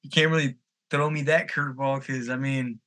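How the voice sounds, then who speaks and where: A man's voice: mid-range voice, fairly even intonation, normal speed, bright, forceful tone. A man in his mid twenties speaking as a podcast guest in an informal, candid, conversational way. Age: mid 20s. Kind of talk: casual chat.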